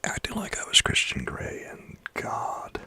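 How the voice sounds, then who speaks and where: A man chatting with his livestream viewers in a soft whisper, rambling and chuckling as he goes. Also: unhurried.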